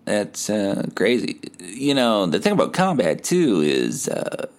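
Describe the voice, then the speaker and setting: A man hosting a podcast, telling stories in a casual, comedic, animated way with some swearing. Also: fairly low pitch, measured pace. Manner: animated, acts out quoted dialogue; profane. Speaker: podcast host.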